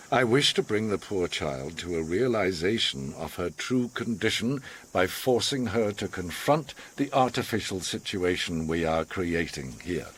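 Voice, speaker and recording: Casting: eccentric, manipulative older gentleman, radio play; low, husky, swooping delivery, formal and commanding. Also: lively intonation, exaggerated voice, poor audio. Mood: measured, knowing. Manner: measured, formal, commanding, theatrically teasing. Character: manipulative, eccentric, authoritative older male host, a self-styled psychiatrist. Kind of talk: radio drama acting.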